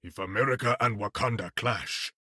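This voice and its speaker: A man's voice: lowish voice, normal speed, bright, forceful tone, breathy edge, dry recording, studio quality, heavily acted. A man playing a proud, dignified warrior king in a video game, with an African accent and formal, regal phrasing. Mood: proud, solemn. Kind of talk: video game character voice acting.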